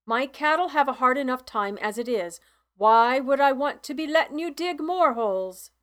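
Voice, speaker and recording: A woman voicing a gruff, grumbling old male farmer with a Scottish accent, in an audiobook reading. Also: sits high, expressive, normal speed, a bit bright, voice pushed up. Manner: gruff, exasperated, blustery.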